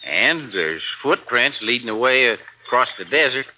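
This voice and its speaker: A man's voice: mid-range voice, animated, normal speed, lightly acted. An actor playing a folksy, stubborn old desert fisherman in a 1950s radio drama, drawling and hesitant, heard through a dull old recording.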